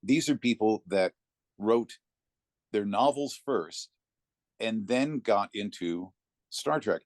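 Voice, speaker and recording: A man talking conversationally as an interview guest, telling anecdotes in a reflective way with thoughtful pauses. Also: fairly low pitch, lively intonation, dry recording, clean studio sound. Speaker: interview guest, narrator of classic readings.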